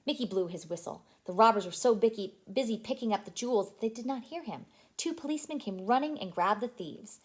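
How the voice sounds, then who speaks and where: Female storyteller, childcare read-aloud, expressive, lively swooping intonation, fairly high pitch, animated delivery. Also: a little fast, hardly any pauses. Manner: animated, asks listeners questions.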